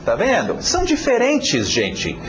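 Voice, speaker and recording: An American man, a native English speaker, teaches with a lively voice that swoops up and down and sits a bit high for a man. He stretches words out slowly and taps out their rhythm in chanted syllables. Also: a little hiss or hum. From United States. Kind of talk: video lesson.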